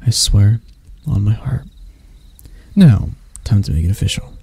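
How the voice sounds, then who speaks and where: Man acting as a dark, velvety-voiced elf prince. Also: fairly low pitch, animated, unhurried, slightly rough, heavily acted. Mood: earnest, solemn. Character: proud elf prince, stern captor softening into bashfulness. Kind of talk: roleplay ASMR acting, addressed to the listener.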